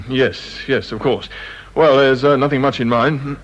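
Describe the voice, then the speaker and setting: A man's voice: mid-range voice, steady tone, unhurried, dull audio, natural voice. An actor playing a mild, wry male colleague in a radio drama. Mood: puzzled. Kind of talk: British radio drama acting. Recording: old radio recording with faint noise.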